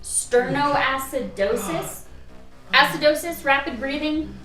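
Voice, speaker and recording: A woman's voice: sits high, takes it slowly, fairly bright tone. A woman acting a driven, impatient doctor in a radio play, insistent and curt. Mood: urgent, insistent. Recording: roomy, distant mic, music underneath.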